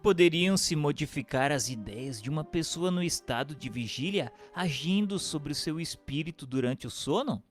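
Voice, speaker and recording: Guy reading formal scripted questions n notes, lively intonation, big hall reverb, music behind. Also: fairly high pitch, normal speed, hardly any pauses. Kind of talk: scripted radio drama narration. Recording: long reverberant hall sound.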